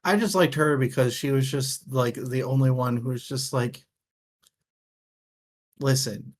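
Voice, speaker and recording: Slow-talking man in casual book chat. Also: mid-range voice, steady tone, slight background noise. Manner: halting, thinking aloud, swears casually. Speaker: co-host of a book discussion show.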